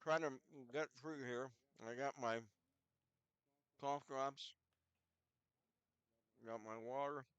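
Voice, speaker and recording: A man's voice: mid-range voice, slow pace, pauses often, fairly bright tone, clear, resonant tone, no room sound, muffled recording. Older man announcing hockey with a head cold. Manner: rambling, chatty, self-deprecating asides. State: head cold with scratchy throat. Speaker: play-by-play announcer. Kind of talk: casual hockey broadcast commentary.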